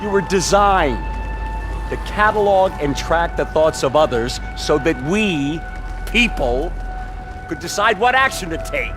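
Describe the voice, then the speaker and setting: A woman playing a harsh, commanding character in a game cutscene, speaking deliberately and cuttingly over music. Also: on the low side, lively intonation. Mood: cold, scornful. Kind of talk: dramatic video game cutscene acting. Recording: music behind the voice.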